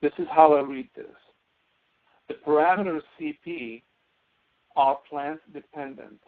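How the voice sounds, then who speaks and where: A man's voice: on the high side, steady tone, measured pace. A man giving a step-by-step tutorial lecture as an instructor, often asking questions and answering them himself. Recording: narrow phone-like audio.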